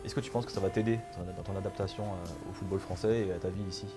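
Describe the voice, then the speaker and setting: A man's voice: low-pitched, steady tone, fast talker, music in the background. A man interviewing a new player for the club, leading with prepared questions and stumbling now and then. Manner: leads with set-up questions, occasional stumbles.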